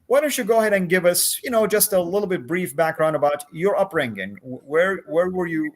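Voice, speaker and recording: A man hosting a livestream interview over a video call, friendly, with many filler "uh"s and animated questions. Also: sits high, a little fast. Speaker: host.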